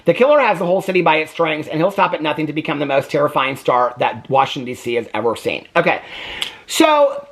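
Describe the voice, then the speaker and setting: A man's voice: fairly high pitch, fast talker, a little hiss or hum. A man chatting casually about books he has read, reading synopses aloud and breaking off with wry complaints and asides. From United States. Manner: animated, chatty, self-interrupting, wry complaints. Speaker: book reviewer.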